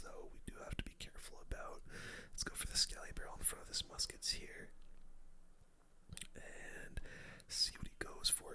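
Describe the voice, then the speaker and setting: A game streamer whispering casual, slangy commentary in an ASMR style. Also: extremely high pitch. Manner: whispering throughout; casual, slangy gamer talk. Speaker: gaming video host.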